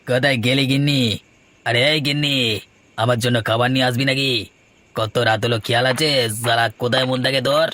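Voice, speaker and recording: A man's voice: mid-range voice, steady tone, fairly quick, pushed and bright, a little hiss or hum, close to an everyday voice. A man playing an abusive drunken husband in a melodramatic cartoon, scolding coarsely and aggressively. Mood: belligerent. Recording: music behind the voice.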